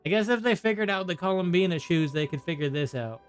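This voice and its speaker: A man's voice: high voice, animated, fast. A man giving casual gameplay commentary as a streamer, rambling and often repeating himself. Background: faint game music.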